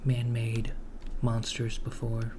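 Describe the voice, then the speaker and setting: A man playing a polite, bureaucratic recruiter for an evil corporation in an ASMR roleplay, soft-spoken and halting, often slipping into a whisper. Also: medium pitch, monotone, natural voice. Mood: businesslike. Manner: hushed, halting, matter-of-fact. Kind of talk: ASMR roleplay, improvised job interview. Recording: clearly noisy close recording, keyboard typing. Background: computer keyboard typing.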